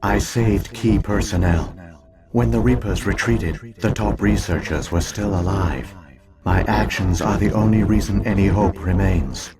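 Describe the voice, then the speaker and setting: Deep, grave ancient alien machine. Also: male voice, a loud crowd. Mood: coldly logical. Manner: solemn, measured, formal exposition. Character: ancient alien AI program, grave, coldly logical, weary. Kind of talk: scripted video game dialogue.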